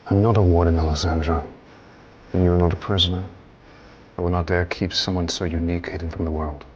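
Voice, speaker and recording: An actor playing a proud, honourable duke in a period romance drama, speaking in a formal, commanding manner. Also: male voice, low-pitched, level tone, brisk, highs cut off, a little hiss or hum, close to an everyday voice. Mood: tender, firm. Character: proud, honourable male duke, guarded but chivalrous.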